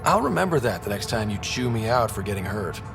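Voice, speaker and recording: An actor playing a young male space explorer in a video game, wry and warm, given to dry banter. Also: lowish voice, big pitch swings, brisk, creaky, over music. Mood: dry, easygoing.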